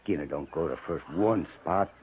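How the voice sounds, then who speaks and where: An actor playing a coarse, boastful buffalo skinner in a 1950s radio western, talking in a rough, folksy, rambling frontier manner. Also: male voice, extremely low pitch, moderate pace, narrow, tinny audio, slight background noise. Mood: boastful relish. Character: boastful, earthy old-West buffalo skinner, coarse and relishing. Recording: thin, band-limited 1950s radio recording.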